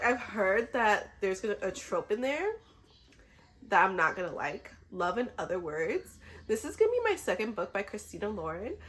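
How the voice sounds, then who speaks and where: A woman's voice: medium pitch, fairly bright tone, husky. A woman book vlogger who is slightly under the weather, chatting casually in an effusive, giggly, rambling way. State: slightly sick.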